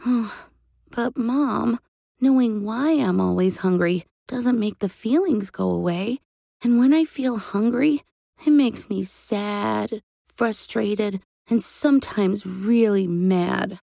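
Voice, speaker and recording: Woman as a high-voiced, emotional little boy. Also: normal speed, dark tone, no room sound, studio quality. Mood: sad, frustrated. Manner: emotional, pleading. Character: young boy, hungry, easily upset and whiny.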